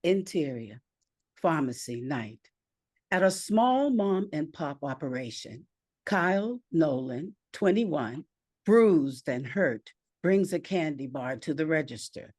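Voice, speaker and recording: A woman narrating a screenplay aloud with deliberate, measured phrasing. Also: deep voice, animated, darkish tone, no room sound, clean studio sound.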